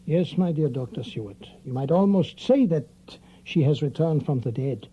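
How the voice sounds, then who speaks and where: An actor playing a grave older European professor and vampire hunter, formal, deliberate and secretive, in an audio drama. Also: male voice, on the high side, expressive, slightly rough, muffled recording. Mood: grimly insistent.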